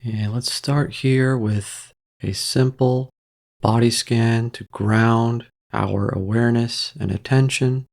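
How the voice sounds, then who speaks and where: Calm guy doing a guided meditation, really slow, bit of gravel in the voice. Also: medium pitch. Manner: calm, gentle, measured with long pauses. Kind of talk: guided meditation narration, soft instruction.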